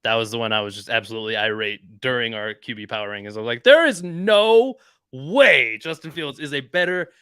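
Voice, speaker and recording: A man's voice: medium pitch, brisk, fairly bright tone, clean tone, no room sound, clean studio sound. A man co-hosting a casual sports podcast, arguing his points with emphatic, opinionated energy. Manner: emphatic and opinionated, voice jumping up when worked up. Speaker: podcast co-host.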